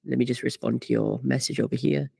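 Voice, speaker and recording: A man's voice: medium pitch, steady tone, fast, creaky, a little mellow, husky, no echo, clean studio sound. A man hosting a live karting coaching stream, chatty and full of fillers as he reads out comments. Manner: chatty, many fillers and restarts.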